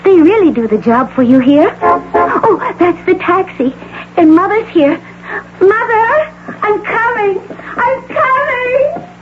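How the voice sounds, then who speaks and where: A woman's voice: extremely high pitch, expressive, takes it slowly, loud music behind the voice, heavily acted. An actress playing a fragile, distraught young woman in an old-time radio drama. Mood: tearful, excited. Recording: muffled, lightly noisy radio broadcast tape.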